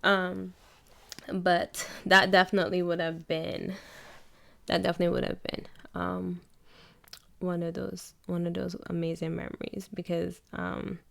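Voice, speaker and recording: A woman's voice: lowish voice, little pitch movement, unhurried, vocal fry, a bit bright. A young adult woman from Barbados with a Barbadian accent, hosting her own podcast in a chatty, casual way with frequent laughter. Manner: chatty, laughs often, self-correcting. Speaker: podcast host. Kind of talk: casual solo podcast chat.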